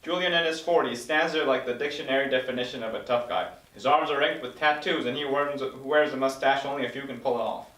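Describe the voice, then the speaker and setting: A man's voice: medium pitch, quick pace, hardly any pauses, fairly bright tone. A man reading stage directions as scripted narration at a table read in an echoey room. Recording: echoey room, distant mic.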